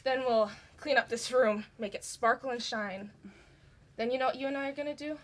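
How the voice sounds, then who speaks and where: A woman's voice: fairly high pitch, brisk, natural voice. A young woman acting a caring but bossy student sister in an amateur stage play, earnest and insistent. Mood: reassuring. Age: teenager or young adult. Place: indoor stage performance. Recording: live stage recording, faint noise.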